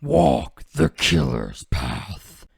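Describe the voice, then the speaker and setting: A man voicing a grim, merciless warlord with a raspy voice, solemn, menacing and vengeful. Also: low voice, wildly swooping pitch, drawn-out words, no room sound, studio quality, pitched below the performer's own voice, full character voice.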